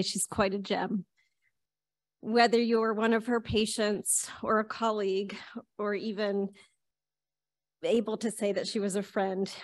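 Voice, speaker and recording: Woman, middle-aged, conference co-chair. Average pitch, earnest prepared tribute delivered into a podium mic. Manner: earnest, reading from notes. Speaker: conference co-chair introducing an honoree. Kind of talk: prepared heartfelt tribute speech. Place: conference hall stage at a podium, indoors. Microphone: podium gooseneck microphone.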